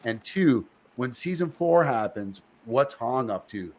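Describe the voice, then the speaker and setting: A man's voice: mid-range voice, lively intonation, unhurried. A man hosting a casual interview, playful and joking as he asks questions. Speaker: podcast host.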